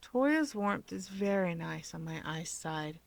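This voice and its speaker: A woman voicing a stubborn, literal-minded teenage boy who speaks in a deadpan, formal, measured way. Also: fairly low pitch, gravelly, exaggerated voice. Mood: matter-of-fact.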